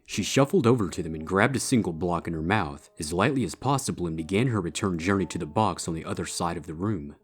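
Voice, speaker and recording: A man's voice: deep voice, quick pace, hardly any pauses, slightly rough. A man giving a scripted narration of a story as its narrator. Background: background music.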